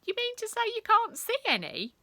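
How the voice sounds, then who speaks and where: Woman as a cheeky high-voiced schoolgirl trickster. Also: wildly swooping pitch, normal speed, bright, forceful tone, no room sound, exaggerated voice. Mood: mock innocent. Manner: mock-innocent, slyly polite.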